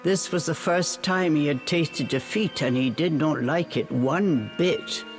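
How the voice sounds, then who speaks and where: A woman's voice: low voice, a little slow, music in the background. A woman reading scripted storybook narration as a dramatic storyteller for a game.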